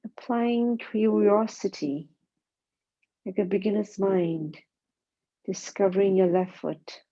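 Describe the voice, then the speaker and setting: A woman guides a body scan meditation, speaking calmly and gently in short, spaced phrases. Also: mid-range voice, slow. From Singapore. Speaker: mindfulness meditation teacher. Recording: dull, muffled lo-fi sound.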